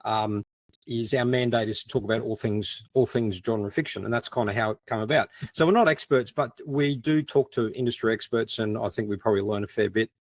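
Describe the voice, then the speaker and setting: A man's voice: lowish voice, a little fast, dry recording. Informal man, dry close sound. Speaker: podcast co-host as panel guest. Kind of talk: casual panel discussion.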